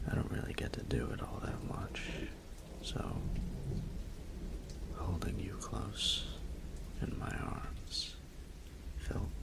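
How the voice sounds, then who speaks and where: Man acting low, breathy, gravelly flirtatious roommate. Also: heavily acted. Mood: tender, longing.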